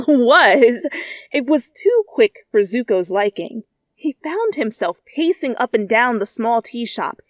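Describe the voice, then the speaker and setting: A woman reading fan fiction aloud in an expressive, comedic style, with dramatic and conversational asides, close to the mic. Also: medium pitch, no echo. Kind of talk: expressive, comedic story narration. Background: faint background music.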